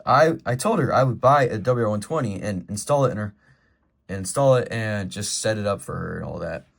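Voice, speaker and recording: A man's voice: lowish voice, expressive, a little fast, creaky. A man giving a casual, rambling show-and-tell as a collector, in an informal and opinionated way. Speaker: collector presenting a weather radio collection.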